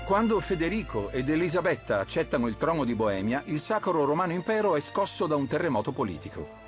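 Male narrator, animated pitch, measured delivery, music bed under the voice-over. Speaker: documentary narrator. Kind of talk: scripted narration.

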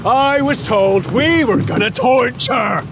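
A man playing an eager, hot-headed pirate crewman in an audio drama, excitable and theatrical. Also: very high voice, slow pace, creaky, noisy background, exaggerated voice. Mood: suspicious, eager.

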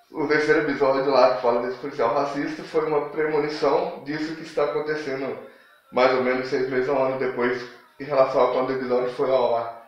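A Brazilian man hosting a casual, slangy opinion vlog, rambling informally in a self-deprecating way. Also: mid-range voice. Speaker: YouTube channel host. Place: small dim room at home, indoors. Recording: dull lo-fi, very echoey room.

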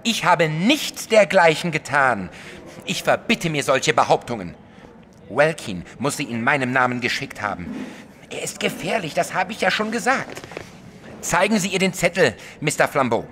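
A man's voice: a little high, very animated, normal speed, pushed and bright. An actor playing a small, self-righteous, jealous male artist in an audio drama, vehement and defensive in manner. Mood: defensive, indignant. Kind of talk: German audio drama acting.

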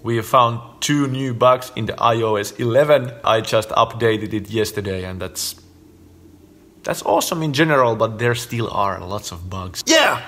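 A man's voice: mid-range voice, normal speed. A man in his thirties with a Finnish accent, hosting a casual vlog and making emphatic points to a phone camera in his living room. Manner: animated, emphatic, gesturing with fingers while counting points. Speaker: host. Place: living room at home, indoors. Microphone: phone camera at arm's length.

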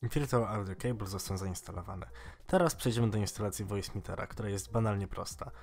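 A man in his twenties giving a casual, informal software tutorial in a slightly halting way. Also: fairly low pitch, faint music behind. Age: 20s. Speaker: tech tutorial host.

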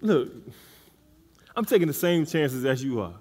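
A man's voice: a little high, big pitch swings, unhurried, a little mellow. A man playing a laid-back, noncommittal young boyfriend in a comedic stage play, speaking casually and with mild exasperation. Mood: calm, frank. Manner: casual, hedging, mildly exasperated. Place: theatre stage, indoors. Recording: noisy stage recording from far off.

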